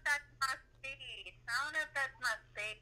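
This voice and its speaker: A woman's voice: high voice, normal speed, heavy background noise. A woman in a casual phone conversation, questioning and skeptical, heard over a phone speaker. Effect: telephone. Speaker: prank call recipient.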